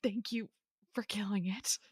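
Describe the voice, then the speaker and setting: An actress playing a shaken, grieving young woman in a horror audio drama, speaking in a halting, breathy way. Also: mid-range voice, fairly even intonation, no room sound, studio quality. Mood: shaken, grateful.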